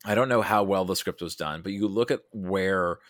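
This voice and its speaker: A man with a fairly low voice chats about films as a podcast guest. He thinks aloud, rambling and stopping and starting as he goes. The sound is very dry, with no room to it. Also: moderate pace, fairly bright tone, dry recording. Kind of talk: casual film discussion.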